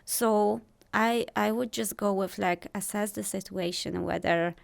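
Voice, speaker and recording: A woman in her thirties with a Polish accent, explaining her views calmly as a guest on a talk show. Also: medium pitch, measured pace, vocal fry. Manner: thoughtful, explanatory, frequent "like" and "you know". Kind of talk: casual interview talk.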